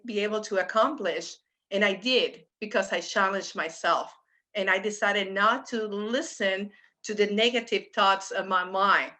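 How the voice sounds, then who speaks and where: A woman's voice: mid-range voice, sharp tone. A woman from Puerto Rico with a Puerto Rican Spanish accent, a professor of economics speaking earnestly on a panel over a video call. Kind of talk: panel discussion.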